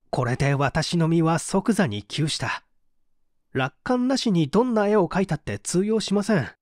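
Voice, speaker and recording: A man's voice: on the high side, no room sound, studio quality. A man reading dramatically as a bitter, despairing painter who gives testimony in a formal, confessional way. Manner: confessional, expressive, formal narrative delivery.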